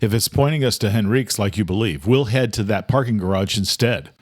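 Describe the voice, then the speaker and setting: A man's voice: fairly low pitch, brisk, no room sound, studio quality, natural voice. A man voicing a determined, loyal male investigator in an audiobook, talking in a direct, informal way. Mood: resolute. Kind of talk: audiobook character dialogue.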